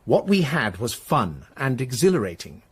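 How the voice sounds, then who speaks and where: An unapologetic husband in a radio drama. His husky voice sits at an average pitch, and he speaks frankly in measured, careful phrases. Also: sing-song. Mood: smooth, unapologetic. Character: unapologetic husband in an open marriage. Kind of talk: naturalistic radio drama dialogue.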